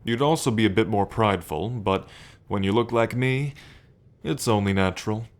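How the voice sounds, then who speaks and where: A man's voice: on the low side, moderate pace, close to an everyday voice. A man playing a smug, teasing demon prince of pride in an ASMR roleplay, drawling and playfully taunting the listener. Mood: menacing, seductive.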